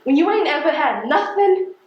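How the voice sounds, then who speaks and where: Actress doing a bitter working class mail carrier woman, high voice, stage play, super echoey. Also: expressive, moderate pace, muffled recording. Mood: hurt, bitter. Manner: emphatic, colloquial, confrontational. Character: defiant, embittered working-class woman postal carrier from the projects. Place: theatre stage.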